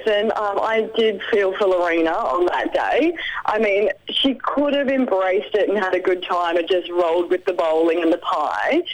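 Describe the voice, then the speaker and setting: A woman with a deep voice, a reality show contestant chatting in a radio interview, giggly with lots of ums. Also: rough recording.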